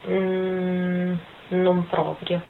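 Phone-like, hesitant low-voiced young woman. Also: monotone, drawn-out words, creaky, a little hiss or hum. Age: 20s. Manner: hesitant, thinking aloud. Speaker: interviewee. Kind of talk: informal recorded interview answers. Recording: thin phone-like sound.

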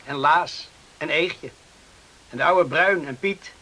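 A young island man in a radio play, rescued from a storm. His voice sits fairly high and rings very bright. He speaks earnestly, with halting, moved phrasing. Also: slight background noise, full character voice, poor audio. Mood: tender wonder. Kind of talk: radio drama acting.

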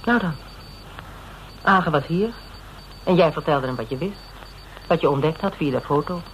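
An actor playing a level-headed detective in a Dutch radio drama, questioning methodically in clipped phrases. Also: male voice, fairly high pitch, normal speed, a bit bright, close to an everyday voice. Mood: probing, firm. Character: probing, level-headed detective piecing a case together. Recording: noisy old radio drama recording.

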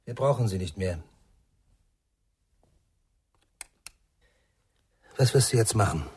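An actor playing an enigmatic, calm musician in a radio crime drama, speaking in terse, measured, knowing phrases with a husky, gravelly voice. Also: male voice, lowish voice. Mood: quiet, confiding.

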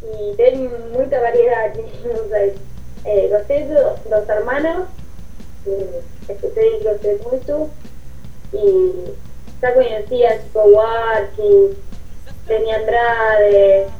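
A woman's voice: on the high side, slow pace, muffled recording. Distant woman in an echoey room. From Argentina. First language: Spanish. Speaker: exchange student guest.

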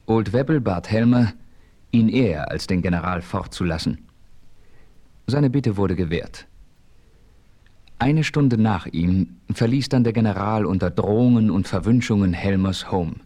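An actor playing a composed frontier adventurer who narrates his exploits gravely in a German radio play. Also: on the low side, dark tone, natural voice. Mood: measured, serious. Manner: grave, measured storytelling. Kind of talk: dramatic first-person radio play narration.

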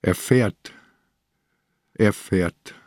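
An actor playing a shrewd, ailing old police commissioner in a radio drama, terse and probing. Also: male voice, on the low side, very slow, a little mellow, dry recording, studio quality. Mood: wistful. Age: older.

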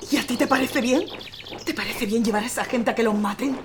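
A woman's voice: fairly high pitch, fast talker, background noise, natural voice. An actress playing a protective, defiant woman fleeing war in an audio drama, forthright and sardonic, with an accent from Spain. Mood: bitter, reproachful. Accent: Spanish, from Spain.

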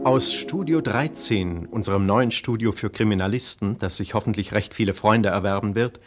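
A man making a measured, solemn 1950s radio announcement on an archival recording. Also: fairly low pitch, faint music behind.

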